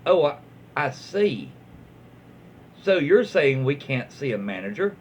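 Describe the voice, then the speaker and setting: An indignant, entitled mother acted out by a man in a read-aloud story. She is confrontational and scolding, stretching words for emphasis with big swings in pitch. The recording is dull, with faint noise behind it. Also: big pitch swings, takes it slowly. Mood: affronted.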